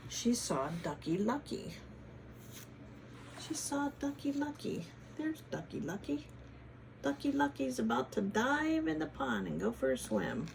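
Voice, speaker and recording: A woman hosts a children's read-aloud in a teacherly, questioning manner. Her pitch moves widely and her pace is slow, with some creak in the voice. She mixes expressive story reading with chatty asides. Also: slight background noise.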